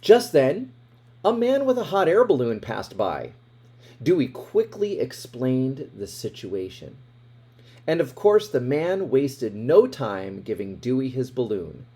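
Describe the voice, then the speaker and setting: Animated male storybook reader, slightly husky. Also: medium pitch, takes it slowly. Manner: expressive, dramatic emphasis with asides about the pictures. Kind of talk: animated read-aloud of a children's picture book.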